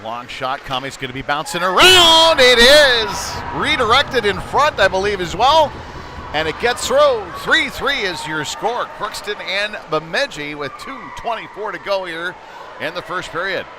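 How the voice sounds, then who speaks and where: A man's voice: high-pitched, big pitch swings, measured pace. A man giving live ice hockey play-by-play in a conversational, fragmented way, following the action phrase by phrase. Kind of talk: live sports commentary. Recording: noisy live rink broadcast.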